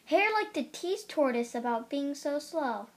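A young, high-pitched voice reads a picture book aloud. The delivery is slow and careful, with lively pitch and small stumbles over words. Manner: careful reading with occasional stumbles. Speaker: storybook reader.

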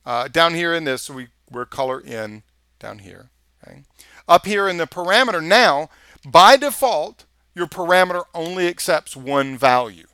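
Texan male instructor, screencast voice-over, bright and dry, casual and chatty while clicking around. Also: on the high side, sing-song, normal speed, clear, resonant tone, no echo. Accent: Texas. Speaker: software instructor. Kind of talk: screencast tutorial walkthrough.

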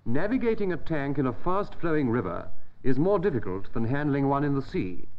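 A middle-aged man giving measured, precise, formal narration for a military training film. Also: medium pitch, sing-song. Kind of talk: scripted instructional narration. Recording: dull lo-fi 1940s film soundtrack.

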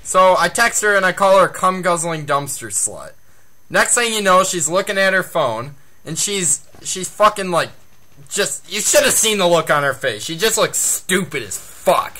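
High-pitched, animated, foul-mouthed young man. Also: moderate pace. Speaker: guest storyteller. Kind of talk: casual storytelling.

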